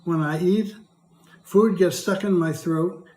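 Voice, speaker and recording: Retired older man, hoarse and dry-voiced, reads his testimony carefully; roomy echo. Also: sits high, steady tone, slow, a little mellow. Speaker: patient speaker. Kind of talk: prepared testimony read aloud.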